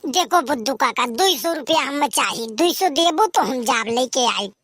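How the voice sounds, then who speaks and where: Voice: sits high, lively intonation, normal speed, fairly bright tone, no echo, studio quality. A performer voicing a cheeky, scheming village lad in an Awadhi comedy cartoon, with an Awadhi accent and a teasing, argumentative manner. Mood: teasing, wheedling.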